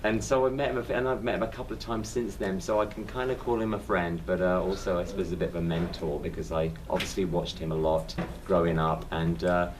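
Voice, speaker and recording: A man's voice: low voice, steady tone, fairly quick, hardly any pauses, recorded from a distance, noisy background. A man with a London accent, a stage actor telling anecdotes in a casual interview, often restarting his sentences. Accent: London English. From England. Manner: chatty anecdotal storyteller with frequent restarts.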